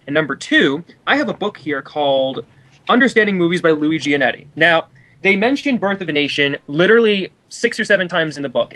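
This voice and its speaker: A man's voice: fairly high pitch, a bit bright, poor audio. A man giving casual film commentary as a co-commentator, argumentative and rambling in manner.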